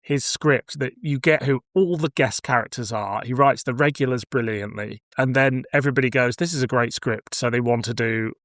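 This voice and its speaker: A man's voice: mid-range voice, moderate pace, few pauses, a bit bright, no echo, clean studio sound. A man speaking as a podcast host, chatting casually and introducing items for review.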